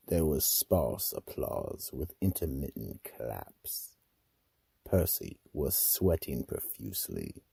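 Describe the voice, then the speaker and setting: An actor playing a grave, ominous narrator of a radio mystery story, with a husky, gravelly voice. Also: male voice, extremely low pitch, mellow, no echo, full character voice.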